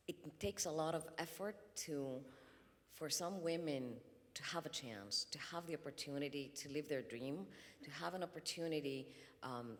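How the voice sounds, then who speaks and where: A woman from Greece speaking as a conference panelist, measured and thoughtful, with frequent ums. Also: extremely low pitch. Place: conference hall. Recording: long reverb in a conference hall.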